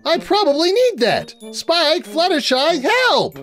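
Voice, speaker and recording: A man's voice: very high voice, very animated, slow, a bit bright, soft background music, pitched above the performer's own voice, full character voice. A man voicing an undead young female pony, earnest, upbeat and awkward, in a cartoon story reading. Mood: panicked.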